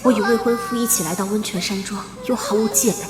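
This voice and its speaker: A woman's voice: mid-range voice, steady tone, fast, natural voice. A woman playing a proud, wronged young noblewoman, narrating her own bitter story in a dramatic motion-comic drama. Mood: bitter, sorrowful. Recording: roomy reverb under loud music.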